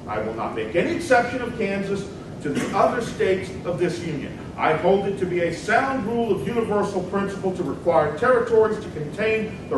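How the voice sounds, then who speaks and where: A man performing a dramatic reading as a combative nineteenth-century senator, declaiming a formal, emphatic political debate speech. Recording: very echoey hall, distant mic, rumbling noise.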